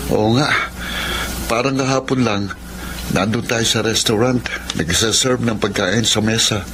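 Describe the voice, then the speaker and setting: A man's voice: on the low side, fairly even intonation, a little slow, background noise, lightly acted. An actor in a Filipino drama playing a modest, polite young male student. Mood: wondering. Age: young adult.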